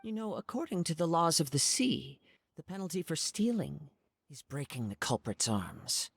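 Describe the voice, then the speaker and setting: A man playing a calm, poetic young wandering swordsman in an anime game, speaking in measured, eloquent phrases. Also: fairly high pitch, creaky, husky, dry recording, heavily acted. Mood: coolly menacing. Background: background music.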